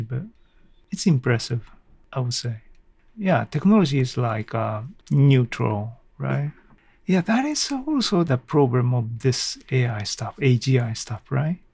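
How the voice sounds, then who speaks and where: A middle-aged man hosting a casual solo podcast, thinking aloud haltingly in non-native English phrasing. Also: medium pitch, expressive, gravelly, darkish tone, slightly rough, no echo. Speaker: podcast host.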